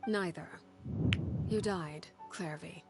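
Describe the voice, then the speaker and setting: Low, solemn, commanding woman leader. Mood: grave, quiet. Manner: measured, solemn, formal, commanding. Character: stern, composed woman leading an orphanage as its 'Father'. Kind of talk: video game voice acting. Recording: voice under clear background music. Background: game music.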